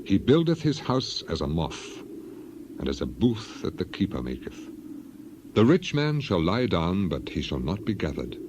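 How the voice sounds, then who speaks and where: An actor playing Job, a solemn suffering man of the Old Testament, in a dramatized King James Bible reading, with grave, declamatory, measured phrasing. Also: low voice, sing-song. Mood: grave, foreboding. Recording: clearly noisy, birds and ambience behind.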